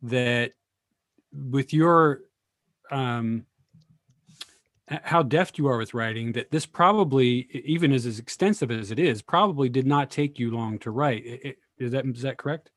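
A man's voice: mid-range voice, moderate pace, fairly bright tone, no room sound, studio quality. A man in casual discussion on a video call, thoughtful and searching for words as he talks. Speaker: discussion participant.

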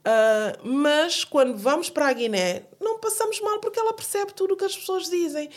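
A woman's voice: high-pitched, a little fast. Woman in her 40s, earnest interview guest, lots of restarts and repeats. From Portugal. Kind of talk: interview conversation.

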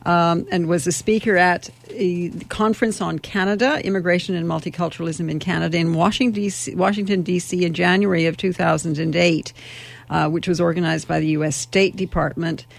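A woman who co-hosts the panel, introducing a guest in a steady, formal way. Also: fairly low pitch, a little fast, creaky. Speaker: co-facilitator.

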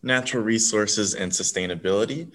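A young man from Southern California, a student guide, answering questions in a relaxed, easygoing way. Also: on the low side, brisk. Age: young adult. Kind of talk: casual interview answers.

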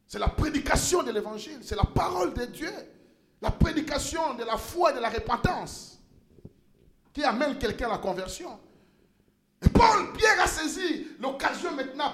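A male pastor preaching a sermon in a church, impassioned, rising to shouts and stumbling over repeated words. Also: very high-pitched, lively intonation, moderate pace, reverberant, noisy background.

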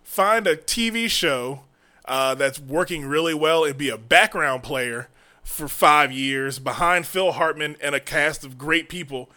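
A young man hosting a casual comedy podcast, joking loudly and laughing often. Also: on the high side. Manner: loud, laughing, fast joking delivery. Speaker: podcast host.

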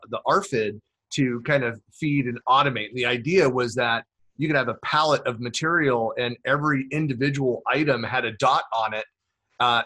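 Male podcast host, mid-pitched, talkative, loose and rambling delivery. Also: sharp tone, poor audio. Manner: chatty, rambling with many fillers. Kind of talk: interview podcast.

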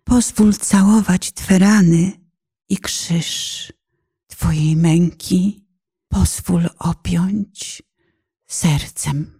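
A woman plays a penitent lost soul at prayer in a poetic radio play. The delivery is unhurried and solemn, with a dark, gentle tone. The words are fervent and pleading. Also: on the low side, fairly even intonation, slightly rough, exaggerated voice. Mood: humble, imploring. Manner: fervent, pleading, solemn, sometimes dropping to whispers. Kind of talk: dramatic poetic recitation, prayer.

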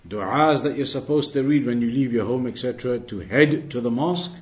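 A man giving a religious lesson, reading out Arabic texts and explaining them in English, restating key points as he instructs. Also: mid-range voice. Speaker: religious teacher leading a weekly class.

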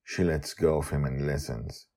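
A man hosting and narrating a fiction podcast with animated, swooping emphasis. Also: a bass voice, moderate pace, gravelly. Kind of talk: storybook narration.

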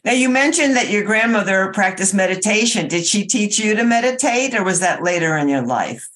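A woman's voice: mid-range voice, expressive, fairly quick, a bit bright. A woman hosting an interview, asking a guest encouraging questions about his past. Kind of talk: interview conversation.

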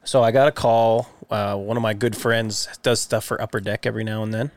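A man's voice: lowish voice, fairly even intonation, brisk, dry recording. A man guesting on a podcast, laid-back and swearing now and then as he tells an anecdote. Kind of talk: casual banter and storytelling.

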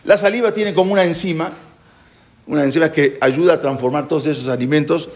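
Gravelly man teaching a Torah class. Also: fairly high pitch, fast. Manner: animated storyteller, asks the listeners questions. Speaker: rabbi giving a Torah class. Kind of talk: spoken Torah class with a parable.

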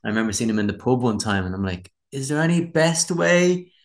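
A man's voice: mid-range voice, big pitch swings, brisk. An Irish man, a musician being interviewed, chatting casually in a candid, rambling, self-deprecating way. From Dublin, Ireland. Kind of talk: casual chat.

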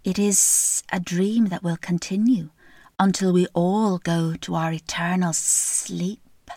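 A woman voicing a small, wise and sly snake in the woods, speaking slowly with a hushed, cryptic, drawn-out manner. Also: lowish voice, steady tone, no echo.

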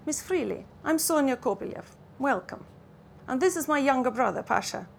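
A woman reading the lines of a brisk, frowning young Russian woman welcoming a governess. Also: high-pitched, expressive, creaky, slight background noise.

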